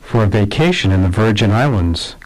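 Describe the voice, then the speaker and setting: A man's voice: lowish voice, lively intonation, moderate pace, poor audio. Man reading practice dialogues. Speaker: dialogue reader.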